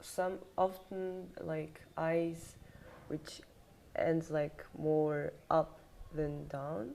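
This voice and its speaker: Low, gravelly-voiced woman guest, hesitant delivery, faint hiss behind. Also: little pitch movement, drawn-out words, mellow. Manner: hesitant, many fillers. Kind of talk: casual conversation.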